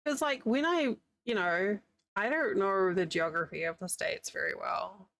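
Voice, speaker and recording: A woman's voice: medium pitch, a little slow, creaky, fairly bright tone, no echo. A woman from New Zealand with a New Zealand accent, chatting casually with her live chat while she crochets, rambling and laughing often. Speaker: streamer. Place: home, living in the United States.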